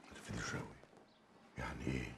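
A man's voice: extremely low pitch, fast talker, vocal fry, a bit bright, slight background noise, heavily acted. A man playing a fussy, flustered Egyptian boss. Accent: Egyptian Arabic. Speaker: Mr. Gamil, the newspaper boss. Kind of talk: comedy film acting.

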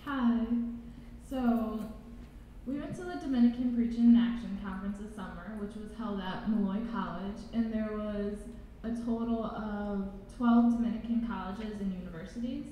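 A woman's voice: mid-range voice, normal speed, warm tone. Young woman presenting in an echoey hall. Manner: casual, uses "like". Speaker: student panelist. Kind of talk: panel presentation. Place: large reverberant college hall. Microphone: handheld mic. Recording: echoey hall, dull sound, faint noise.

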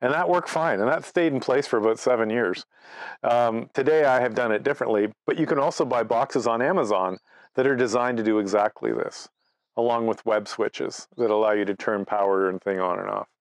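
A man's voice: medium pitch, a little fast, no room sound. A man, giving an informal, conversational instructional talk with step-by-step examples. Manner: conversational, explains through step-by-step examples and anecdotes. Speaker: presenter, amateur radio operator. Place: outdoors in front of leafy trees.

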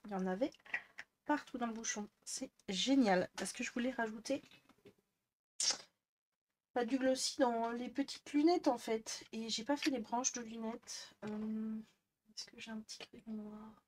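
A woman's voice: a little high, fairly even intonation, unhurried, darkish tone, a little hiss or hum. Chatty French-speaking woman vlogger, rambling. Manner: rambling, many fillers and restarts. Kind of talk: casual chatty vlog. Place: bedroom desk, indoors. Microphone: headset mic.

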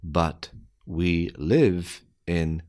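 Very slow, deep-voiced male quiz reader. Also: a little mellow. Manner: deliberate, evenly spaced phrasing for learners. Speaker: English listening quiz narrator. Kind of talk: slow scripted quiz reading.

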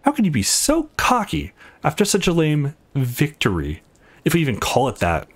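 A man's voice: fairly high pitch, wildly swooping pitch, normal speed, breathy edge, heavily acted. Man reading as earnest young game hero. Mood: indignant.

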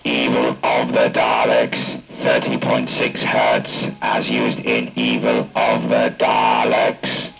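A man speaking through a Dalek-style ring-modulator robot effect, announcing test settings in a clipped, deliberate way. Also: very deep voice, monotone, creaky, soft background music. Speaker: hobbyist demonstrating a voice changer. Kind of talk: product test demonstration. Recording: dull lo-fi capture from amplifier speaker.

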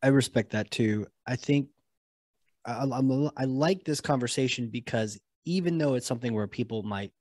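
A man hosting a marketing podcast interview over a video call with a boom-arm mic, enthusiastic and quick to react. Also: a little mellow, no echo, studio quality. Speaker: podcast host. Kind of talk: conversational interview. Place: home office, video call.

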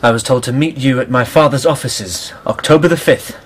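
A man's voice: mid-range voice, brisk, a little hiss or hum, natural voice. A man acting in a radio drama as an earnest, impassioned young lover with an urgent, questioning manner. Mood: impatient, weary.

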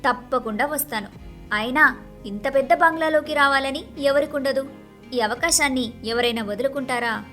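A woman playing an envious, grumbling young married woman in an animated moral story, with an expressive, dramatic delivery. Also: sits high, normal speed, faint music behind. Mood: chatty, coy. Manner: expressive, dramatic complaining and wheedling.

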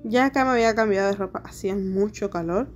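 Relaxed young woman talking through her decor vlog, mid pitch, easygoing fillers. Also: fast talker. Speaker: home decor vlogger. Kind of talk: casual voice-over vlog narration. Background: soft background music.

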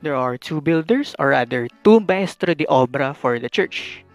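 A man's voice: fairly high pitch, animated, normal speed. A young man with a Filipino accent giving a scripted student presentation as narration. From Philippines. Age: young adult. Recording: music behind the narration. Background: background music.

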